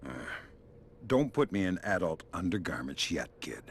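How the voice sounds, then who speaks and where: An actor playing a dry-witted older male covert agent in a video game, speaking in a wry, deadpan manner. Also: sits low, normal speed, noisy background. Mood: dry, unhurried.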